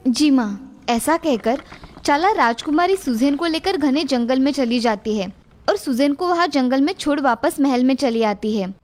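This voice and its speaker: Very high-pitched woman storyteller. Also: expressive, normal speed. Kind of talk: scripted children's story narration.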